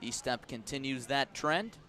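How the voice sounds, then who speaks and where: Man, American accent, medium-pitched voice, live baseball play-by-play, descriptive, emphatic on action calls, ballpark press box. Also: unhurried, sharp tone. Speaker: baseball play-by-play radio announcer. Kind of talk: live sports commentary.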